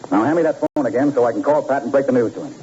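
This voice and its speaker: A man voices a tough, brisk police detective in a vintage radio crime drama, questioning in a no-nonsense way. He talks fast, and the old broadcast sounds dull and heavy with hiss. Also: medium pitch, lightly acted. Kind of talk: 1940s radio acting.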